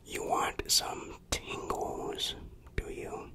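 Slow, secretive ASMR whisperer. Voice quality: breathy unvoiced whisper. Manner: slow, hushed, secretive delivery with repeated phrases. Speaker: ASMR roleplay performer.

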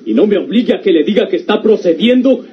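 A woman's voice: medium pitch, expressive, fast talker, lightly acted. An actress playing a defiant, anguished woman with a Mexican accent in an old rural radio melodrama. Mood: indignant. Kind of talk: old radio serial acting. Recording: dull, noisy vintage radio recording.

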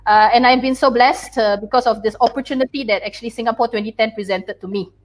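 Earnest woman with a higher voice. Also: steady tone, quick pace. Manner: earnest, listing places with many fillers. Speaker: course co-founder. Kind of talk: video call introduction. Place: indoor room, video call. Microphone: webcam mic on video call.

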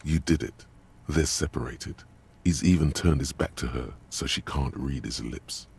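A man voicing a grave, brooding narrator in a game, reading in a measured, literary way. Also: a little mellow, full character voice. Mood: grave, watchful. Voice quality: very deep, gravelly. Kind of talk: scripted second-person game narration.

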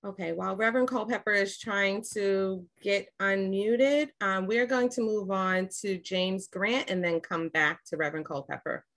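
A woman's voice: mid-range voice, a bit bright. Polite woman moderating a video call. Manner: polite, measured. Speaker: host and moderator. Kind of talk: moderating a virtual candidate forum.

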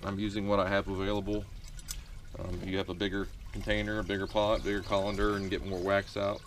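A middle-aged man with a lowish voice walks through a beekeeping chore outdoors, rambling and restarting his sentences as he goes. He is picked up by a camera mic in a farmyard, with faint outdoor ambience behind him. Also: completely flat delivery, fast talker, a little hiss or hum. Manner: rambling, many fillers and restarts. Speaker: beekeeper showing a how-to. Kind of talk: casual how-to demonstration.

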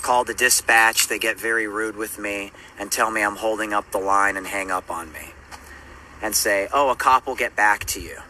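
A man in his thirties speaks into a phone held out at arm's length on a city street at night, weary and pained from an injured eye. He talks in a subdued, halting way with lots of fillers. Traffic noise rumbles clearly behind him. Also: pushed and bright, rough recording. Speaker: street preacher. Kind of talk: phone selfie video recap.

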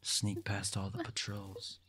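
A man's voice: fairly low pitch, little pitch movement, takes it slowly, crowd noise. A man playing a cocky, callous hunter in an audio drama, curt, bossy and sneering. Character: cocky, callous male human bounty hunter. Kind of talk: amateur audio roleplay drama.

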